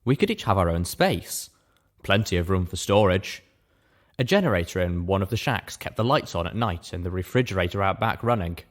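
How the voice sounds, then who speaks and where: A man's voice: low voice, expressive, brisk. A man reading first-person fiction aloud as an audiobook narrator.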